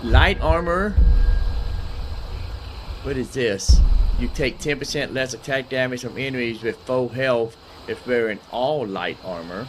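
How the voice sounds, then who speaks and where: A man's voice: mid-range voice, unhurried, noisy background. A man giving casual live game commentary, thinking aloud in a folksy way and repeating his phrases. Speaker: gaming streamer.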